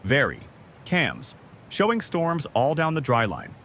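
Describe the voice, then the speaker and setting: A man's voice: a little high, huge pitch swings, unhurried, fairly bright tone, heavily acted. A man playing a level-headed but exasperated storm chaser in casual amateur drama dialogue. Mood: matter-of-fact. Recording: very noisy outdoor vehicle ambience.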